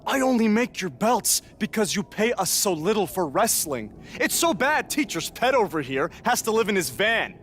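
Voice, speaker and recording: Cocky, higher-pitched young wrestler. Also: male voice, very animated, moderate pace, few pauses, a bit bright, faint music behind. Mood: indignant, bitter. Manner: boastful, sarcastic, mocking. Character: cocky, resentful young male indie wrestler. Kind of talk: video game cutscene acting.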